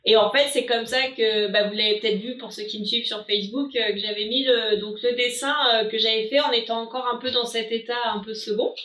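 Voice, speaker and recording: A woman's voice: on the high side, fairly even intonation, brisk, few pauses, a bit bright, roomy sound, a little hiss or hum. A woman, an artist hosting her live stream, chatting casually with frequent fillers.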